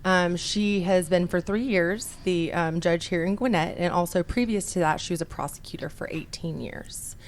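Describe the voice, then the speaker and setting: Chatty woman radio host, low voice. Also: normal speed, hardly any pauses, slight background noise. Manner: upbeat, chatty, laughs easily. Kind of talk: radio talk show interview. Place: radio studio.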